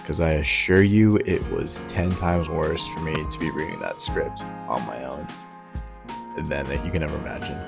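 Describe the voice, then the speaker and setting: Deep voiced guy host, chatting casual, sweary n self deprecating, music in back. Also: fairly even intonation, moderate pace, vocal fry. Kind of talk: casual self-mocking commentary.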